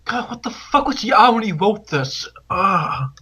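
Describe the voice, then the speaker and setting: A man's voice: high voice, big pitch swings, a little slow, sharp tone, husky. A man co-commentating on a livestream in casual chat.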